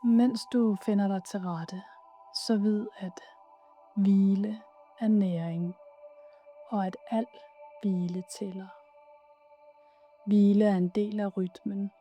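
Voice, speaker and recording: Very mellow, soft woman guiding relaxation. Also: mid-range voice, fairly even intonation, soft background music. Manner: soothing, soft, unhurried with pauses. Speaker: yoga nidra guide. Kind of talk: guided meditation, relaxation.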